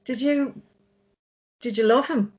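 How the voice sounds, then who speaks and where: Slow, high-voiced exasperated sister, acted by a woman. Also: darkish tone, highs cut off. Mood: hesitant, worried. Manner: blunt, pleading. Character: exasperated, blunt, worried sister of a grieving drunk. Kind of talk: drama script table read.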